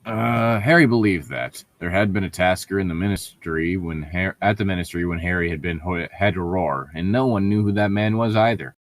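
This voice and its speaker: A man's voice: deep voice, normal speed, hardly any pauses, no echo. A man reading a story aloud as a co-host, breaking off for sarcastic, sweary, irreverent asides. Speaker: co-host reading fan fiction aloud with mocking commentary. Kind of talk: read-aloud narration broken by sarcastic asides.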